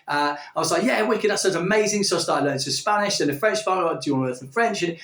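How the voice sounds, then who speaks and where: A man's voice: sits high, rapid-fire, a bit bright. A man, talking casually in a vlog, enthusiastic and rambling with plenty of filler words. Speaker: language-learning YouTuber. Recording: echoey room, distant from the mic.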